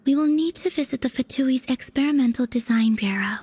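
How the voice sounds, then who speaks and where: An actress voicing a mysterious, ethereal young woman in a video game, speaking softly and calmly in a breathy whisper. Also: high-pitched. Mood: hushed. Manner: soft, calm, unhurried.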